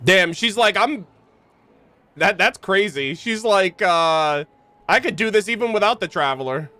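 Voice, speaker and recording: A man's voice: high-pitched, lively intonation, a little slow, sharp tone, dry recording. A man streaming a game, giving casual, slangy, joking reaction commentary.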